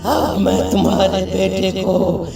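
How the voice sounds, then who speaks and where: A performer voicing a vengeful male shadow ghost in a horror story, with a gravelly, drawn-out, menacing delivery. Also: mid-range voice, completely flat delivery, distant mic. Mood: gloating, menacing. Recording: echoing voice under loud music.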